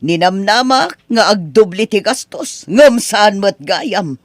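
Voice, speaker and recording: A man's voice: high voice, lively intonation, takes it slowly, no room sound, studio quality, heavily acted. A man voicing Aunt Teresa, a stern older woman who lends money, scolding and disappointed in a radio drama.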